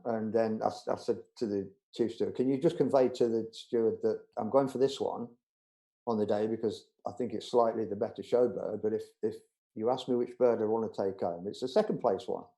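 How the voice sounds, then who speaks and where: A man's voice: lowish voice, warm tone. A middle-aged man, telling anecdotes in a casual interview, chatty and full of restarts. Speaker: budgerigar show judge, interview guest.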